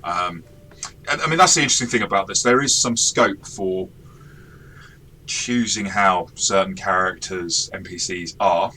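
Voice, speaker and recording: Man with a low, bright voice, game master talking through a module, chatty and self-deprecating, faint background hiss. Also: unhurried. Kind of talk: casual review chat.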